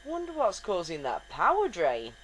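A woman's voice: fairly low pitch, wildly swooping pitch, normal speed, a bit bright, slight background noise, rough recording. Chill friendly engineer dude, woman voicing him, chatty, bouncy voice. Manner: casual, chatty. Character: friendly male chief engineer, easygoing.

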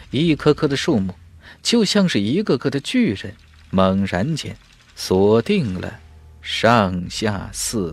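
A man's voice: on the low side, husky, no echo. A man narrating a fantasy audiobook in a dramatic, scripted storytelling style.

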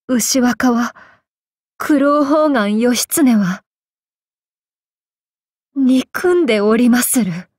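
Casting: possessed female samurai for an anime game, vengeful and torn, archaic diction, very slow delivery, slightly breathy, dry sound. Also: fairly high pitch, a little mellow, dry recording, exaggerated voice. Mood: anguished, earnest. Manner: archaic, solemn speech with halting pauses, sometimes sinking to whispers. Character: vengeful possessed samurai woman, tormented, torn between two selves. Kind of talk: anime game character voice lines.

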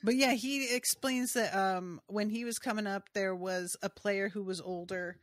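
A woman co-hosting a podcast in a loose, conversational way, often trailing off into little asides. Her voice sits at an ordinary pitch and sounds dry and close, with no room around it. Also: steady tone, normal speed, no echo. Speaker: podcast co-host. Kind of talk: casual recap chat about a TV episode.

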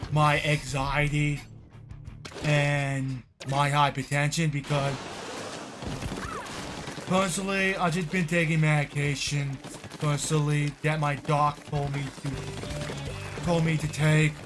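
A man's voice: fairly high pitch, flat delivery, takes it slowly, long pauses. A man in his twenties chatting to his viewers while playing a game, rambling and repeating himself, with short bursts of frustration. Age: 20s. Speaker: streamer. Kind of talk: casual game commentary to chat. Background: game music.

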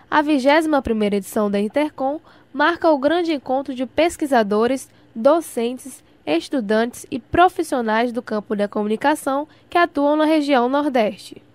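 A woman's voice: sits high, moderate pace, darkish tone, no echo. A woman with a Brazilian accent reading a scripted radio news report as a reporter.

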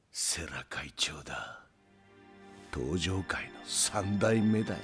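A man's voice: low voice, expressive, drawn-out words, over music, full character voice. An actor playing a sly, mocking prison thug who drawls his taunts, in a crime video game. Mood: gloating. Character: sly, taunting convict sent to attack.

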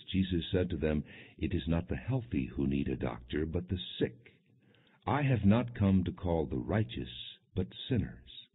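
Low-voiced guy solemnly narrating a bible audiobook, measured, sounds tinny like over a phone. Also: husky. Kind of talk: solemn scripted Bible reading, non-dramatized. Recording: muffled, phone-like narrow band.

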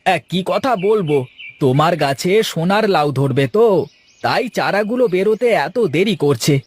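A man voicing a greedy, sly farmer in an animated moral story, excitable and full of exclamations. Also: high voice, moderate pace, no echo. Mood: sly, nervous. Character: greedy, sly male farmer who fools his neighbour. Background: background music.

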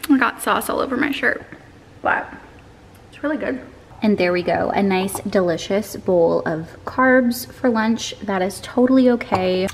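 Calm-voiced young woman vlogging at home. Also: on the low side, roomy sound, slight background noise, rough recording. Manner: calm, friendly and chatty. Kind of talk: casual food vlog chat. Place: home kitchen. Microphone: camera held at arm's length.

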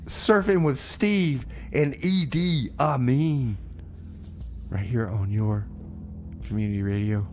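A man's voice: medium pitch, slow pace, darkish tone. A man hosting a community radio music show, talking casually with stammers, restarts and laughter. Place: small radio studio.

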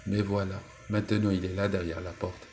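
A man's voice: deep voice, flat delivery, normal speed, darkish tone, slight background noise, voice pushed up. A man voicing a spoiled young princess in a storybook tale. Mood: distressed.

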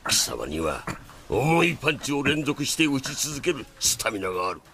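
An actor playing a stern, gruff older male boxing coach in an anime, barking orders in clipped old-man speech. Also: mid-range voice, big pitch swings, normal speed, pushed and bright. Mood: lecturing. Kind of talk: anime dub acting. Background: background music.

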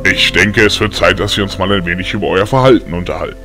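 A man voicing a strict, gruff but loving old grandfather who lectures sternly in an audio story. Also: deep voice, fast talker, over music, pitched below the performer's own voice, natural voice. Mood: stern, disappointed.

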